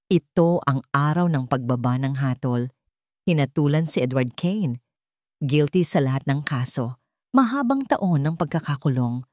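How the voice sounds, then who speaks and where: A woman with a deep voice narrates a scripted drama as a storyteller. The recording is very dry, with no room sound. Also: darkish tone, dry recording.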